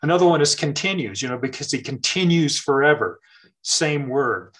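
A man's voice: on the high side, lively intonation, moderate pace, fairly bright tone, slight background noise. A middle-aged man talking casually about faith and scripture, thoughtful, with many fillers and restarts.

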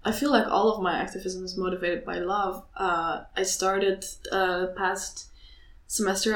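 Young woman, passionate and halting, candid talk, roomy recording. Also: mid-range voice. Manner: earnest, passionate, halting with many restarts. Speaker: student activist. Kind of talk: candid conversation. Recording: echoey room.

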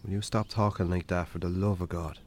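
An actor with an Irish accent playing a stubborn, wry farmer in a radio drama, dry and offhand. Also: male voice, low voice, steady tone, fairly quick, a little mellow, close to an everyday voice. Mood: caring, dry. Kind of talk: naturalistic radio drama acting.

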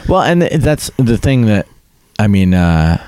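A man's voice: fairly low pitch, sing-song, unhurried, a little mellow, clean tone, no echo. A young man from the United States hosting a podcast, interviewing a friend in a laid-back way with casual swearing. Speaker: podcast host.

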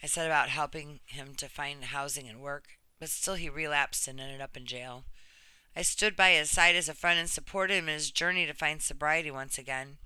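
A woman in her thirties reading a scripted first-person personal story in an earnest, deliberate way with emphatic phrasing. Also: low-pitched, bright, forceful tone, clear, resonant tone, no room sound. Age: 30s.

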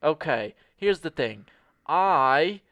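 A man in an improv comedy scene playing a cocky, clueless American who pitches a spy movie, rambling and brash and talking over people. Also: expressive, takes it slowly, fairly bright tone, no echo, muffled recording, heavily acted. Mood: nervous.

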